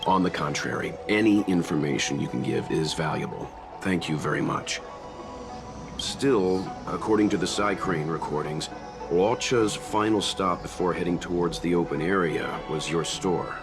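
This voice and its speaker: An actor playing a composed, scholarly mentor in a video game, measured, courteous and analytical. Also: male voice, low voice. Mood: courteous, probing. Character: composed, scholarly adult man, calm reasoning mentor. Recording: music under the voice.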